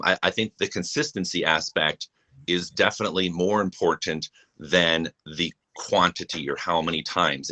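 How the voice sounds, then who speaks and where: A young man with a low voice and a bright, forceful edge. He talks earnestly as a guest on a live stream and often restarts mid-sentence. Originally from Japan. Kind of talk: casual chat.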